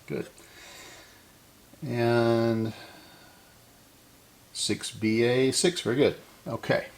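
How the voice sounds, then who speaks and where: A man's voice: medium pitch, fairly even intonation, drawn-out words, a little hiss or hum. A middle-aged man hosting a casual hands-on repair demonstration, talking to himself unscripted as he works. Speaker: host of a vintage electronics repair channel. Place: indoor electronics workbench.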